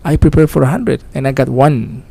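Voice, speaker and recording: Gravelly male host. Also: mid-range voice, dark tone. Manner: friendly, laughs often, halting English. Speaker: radio host. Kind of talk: casual on-air chat in English.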